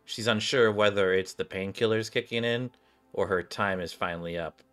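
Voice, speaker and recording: A man's voice: lowish voice, moderate pace, a bit bright, faint music behind. A man streaming a game, reading the narration aloud and dropping in casual asides.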